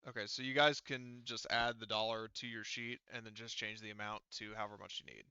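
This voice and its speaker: A man with a bright, clear voice and a gravelly edge talks casually as a game master. He thinks aloud in halting phrases, heard on a dry, slightly dull voice-chat recording. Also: on the low side, steady tone, no echo. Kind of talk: casual troubleshooting talk in an online tabletop game session.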